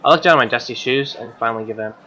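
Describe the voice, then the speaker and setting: Casting: young male game streamer, bright tone, mid pitch, reads story text aloud with casual, slangy reactions. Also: racing, lo-fi sound, a little hiss or hum. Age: young adult. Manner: slangy, offhand.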